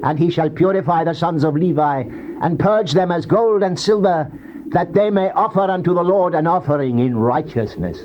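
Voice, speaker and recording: A solemn, earnest prophet played by a man declaims warnings in a high-pitched voice. It is classical staged scripture, heard through a dull, noisy old tape recording. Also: lightly acted. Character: solemn Old Testament prophet rebuking and warning his people. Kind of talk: dramatized scripture reading, classical stage delivery.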